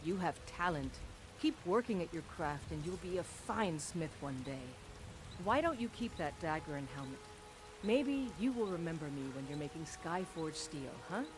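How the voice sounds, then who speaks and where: A woman playing a brisk, encouraging blacksmith in a fantasy video game, with a businesslike manner. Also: on the low side, very animated, noisy background. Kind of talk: scripted video game dialogue. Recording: game music behind the voice.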